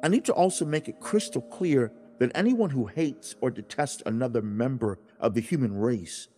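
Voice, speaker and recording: A man performs God as a stern yet loving father, with music underneath. His pitch moves expressively as he preaches in an earnest, deliberate way. Dramatic pauses and firm emphasis give it the feel of a dramatized religious audiobook. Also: expressive, dark tone, natural voice. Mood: solemn, instructing. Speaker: audiobook narrator.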